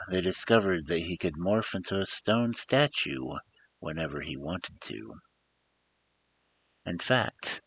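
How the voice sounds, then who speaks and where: A man narrates a tale with a theatrical, emphatic storybook delivery. His voice sits very low and moves at an unhurried pace. The intonation rises and falls widely, as a dramatic storyteller's does. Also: a bit bright, husky.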